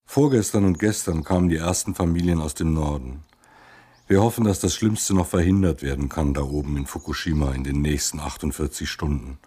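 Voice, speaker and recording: A deep, husky male voice plays a busy, concerned helper acted by a man. He delivers a personal message in clipped phrases, as part of a radio play. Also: brisk, dark tone. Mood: concerned, matter-of-fact. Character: busy, concerned helper taking in refugee families.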